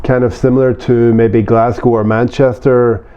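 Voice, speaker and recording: A man from Northern Ireland with a Northern Irish accent, answering interview questions in a relaxed, explanatory way with some fillers. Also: mid-range voice, normal speed. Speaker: interview guest. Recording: dull lo-fi sound.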